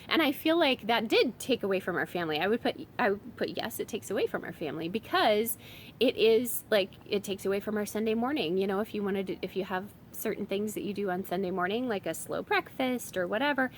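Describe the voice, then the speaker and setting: A woman's voice: medium pitch, a little hiss or hum. A woman in her thirties with a summer cold, chatting casually on her vlog as a homeschooling mom. She rambles a little, with lots of fillers and restarts. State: has a summer cold, voice a bit strained.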